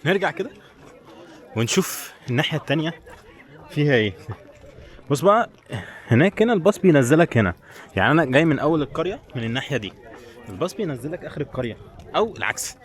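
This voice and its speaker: A man's voice: on the high side, big pitch swings, racing, long pauses. A man with an Egyptian Arabic accent chatting informally and directly to viewers as a travel vlogger on the move outdoors. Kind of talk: casual walking vlog chat to viewers. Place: outdoors in a busy tourist village. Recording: crowd chatter, outdoor street sound. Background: crowd and outdoor sounds.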